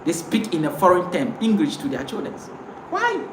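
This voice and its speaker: A woman's voice: lowish voice, wildly swooping pitch, a little fast. Ghanaian woman host, emphatic and preachy live talk, roomy sound with light noise. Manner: emphatic, repeats words, preachy.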